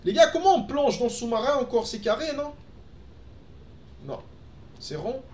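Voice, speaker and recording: Young belgian man, native dutch speaker, high voice, echoey room, casual streamer chatter. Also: big pitch swings, normal speed, a little mellow. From Belgium, Antwerp. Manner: chatty, answers chat, frequent fillers and restarts. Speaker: gaming streamer. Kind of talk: casual live-stream chat while playing. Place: room at home, indoors.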